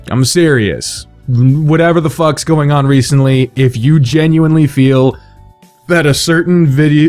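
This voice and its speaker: A man's voice: fairly high pitch, a little mellow. A man hosting a video in a casual, unscripted monologue, rambling, swearing freely and putting himself down. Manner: sweary, self-deprecating, rambling. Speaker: video host announcing fan awards.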